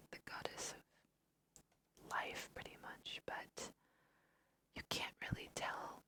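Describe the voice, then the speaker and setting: A woman whispering a casual, musing ASMR let's play, talking to her viewers as she goes. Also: bright, forceful tone. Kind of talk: whispered ASMR game commentary.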